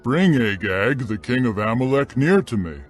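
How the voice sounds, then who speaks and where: A man's voice: medium pitch, animated, moderate pace, soft background music, lightly acted. A man voicing a stern old prophet who solemnly rebukes a king in a dramatized scripture reading. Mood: cold command. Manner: solemn, condemning, emphatic.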